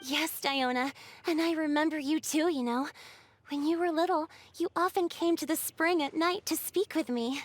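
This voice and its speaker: A woman voicing a gentle, polite young water spirit with a soft, girlish voice in a video game. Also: high voice, normal speed, a little hiss or hum, close to an everyday voice. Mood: gentle, fond. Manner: polite, earnest, storytelling. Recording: music behind.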